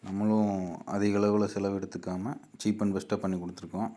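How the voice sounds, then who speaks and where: A man's voice: on the low side, little pitch movement, moderate pace, warm tone. A man with a Tamil Nadu accent giving an informal, plainly explained product walkthrough as an amplifier builder addressing his viewers. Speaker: amplifier builder and repairer presenting his video channel.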